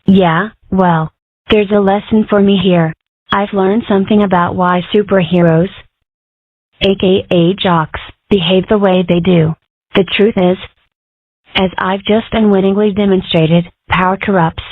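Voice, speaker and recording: A woman's voice: medium pitch, fairly even intonation, measured pace, dry recording, lightly acted. A woman voicing a brash, eccentric cartoon schoolgirl who declares things bluntly and theatrically in an amateur comic dub. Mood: self-assured. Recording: thin, phone-like lo-fi audio.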